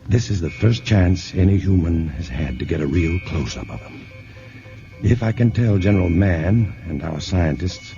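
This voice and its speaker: A man's voice: deep voice, lively intonation, normal speed, over music. An actor playing an urgent, protective male scientist in a 1950s American radio drama, with an earnest, commanding delivery. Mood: urgent, hushed. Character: urgent, protective young male scientist facing invading Martians. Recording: dull, noisy 1950s radio broadcast recording.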